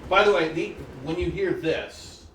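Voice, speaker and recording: High-voiced man talking from across an echoey room. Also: measured pace, fairly bright tone, a little hiss or hum. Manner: retells stories conversationally, with asides to listeners. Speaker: pianist introducing pieces at a recital. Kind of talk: informal spoken program notes between pieces. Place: indoor room with air conditioning. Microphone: phone with USB microphone, placed away from speaker. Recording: roomy and distant with faint ambience.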